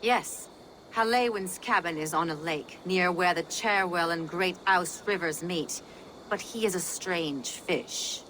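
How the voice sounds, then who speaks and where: A woman's voice: on the low side, a little slow, fairly bright tone, a little hiss or hum, poor audio. An actress voicing a composed, cautious village mother who speaks politely in a video game. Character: composed, wary village mother with local knowledge. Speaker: game character. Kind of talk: video game cutscene acting.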